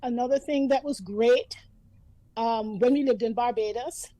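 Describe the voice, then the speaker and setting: A woman in her fifties reminisces in a chatty, storytelling way, laughing easily as she talks. Her voice sits a little high yet sounds mellow and dark in tone. The sound is close and dry, with no room around it. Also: unhurried, no echo. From Antigua. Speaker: guest. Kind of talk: casual reminiscing conversation.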